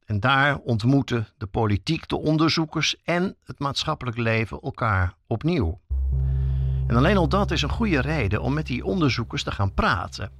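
A man's voice: mid-range voice, lively intonation, normal speed, a bit bright, dry recording. A man hosting as a reporter, reading scripted narration in a storytelling way that addresses the listener directly. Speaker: podcast reporter and host.

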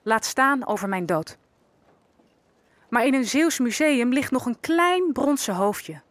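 A high-pitched female voice in a historical radio play, as an enslaved survivor looking back on her life. She is wry and knowing, and tells it slowly and deliberately. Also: lively intonation, no echo. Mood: quiet, solemn. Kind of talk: dramatised first-person narration in an audio drama.

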